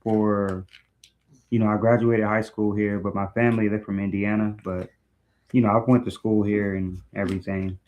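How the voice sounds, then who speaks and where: A man introducing himself on a video call in a relaxed, plain way with a few ums. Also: fairly low pitch, normal speed, lo-fi sound. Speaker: new recruit, brand ambassador. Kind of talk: casual Zoom introduction.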